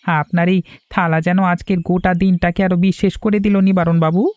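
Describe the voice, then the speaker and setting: A man's voice: sits high, flat delivery, moderate pace, a little mellow, dry recording, natural voice. An actor voicing a polite, grateful village family man in a cartoon. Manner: polite, respectful. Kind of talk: cartoon voice acting.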